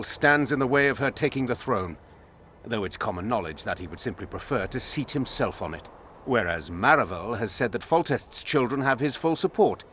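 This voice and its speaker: An actor playing a stern, dignified royal constable in a fantasy game, speaking formally and with authority. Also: male voice, mid-range voice, fairly bright tone, slight background noise, lightly acted. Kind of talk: video game dialogue acting.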